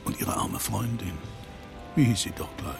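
An actor playing a menacing rich older man in a German radio drama, deliberate, sardonic and coldly superior. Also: fairly low pitch, animated, creaky, music in the background. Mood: mocking menace.

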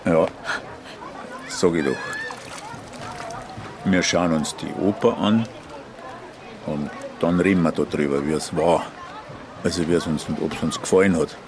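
A man in casual conversation, with a measured, halting delivery. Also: deep voice, a crowd in the background, background noise.

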